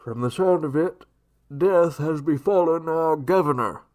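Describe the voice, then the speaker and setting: A man playing a grim golden-age vigilante in a radio drama, with a high but dark, mellow voice. He speaks very slowly, in a formal and grave manner. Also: natural voice. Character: Sandman, grim 1940s masked male vigilante.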